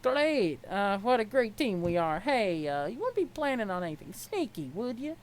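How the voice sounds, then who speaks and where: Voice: mid-range voice, big pitch swings, moderate pace, natural voice. Someone doing a brash, sassy alien schemer girl, noisy recording. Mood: scheming, breezy. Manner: sassy, sarcastic, slangy and pushy. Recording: clear background noise.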